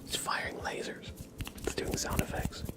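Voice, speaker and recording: A man hosting a livestream, whispering ASMR-style into a close microphone as he reads out and thanks his chat. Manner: soft, slow whispering with light chuckles. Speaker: livestream host. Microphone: close microphone picking up mouth sounds.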